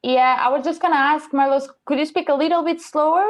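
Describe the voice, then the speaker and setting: A woman's voice: sits high, fairly even intonation, brisk. A woman moderating an online seminar, speaking casually and politely with tentative, hesitant phrasing.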